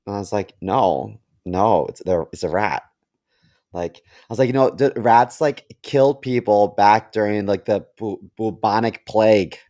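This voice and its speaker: A man telling stories haltingly in a casual, comedic podcast conversation. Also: on the low side, mellow. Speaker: podcast host.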